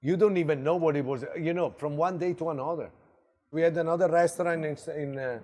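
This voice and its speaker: Middle-aged Italian-accented man, higher voice. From Italy. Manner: halting, filler-heavy, animated with laughs. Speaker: restaurant co-owner. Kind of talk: casual interview chat.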